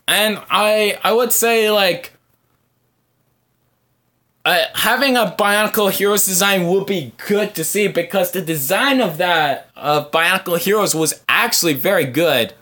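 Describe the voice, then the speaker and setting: A man hosting a casual fan podcast, answering viewer comments in a halting, rambling way as he thinks aloud. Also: high voice, bright, forceful tone. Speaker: podcast host.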